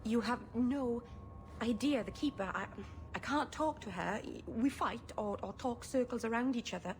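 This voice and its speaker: A woman's voice: on the high side, normal speed, a little hiss or hum, close to an everyday voice. A woman voicing a naive, earnest young elf with a hesitant, stubborn manner in a video game. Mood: anxious, pleading. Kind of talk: video game dialogue acting.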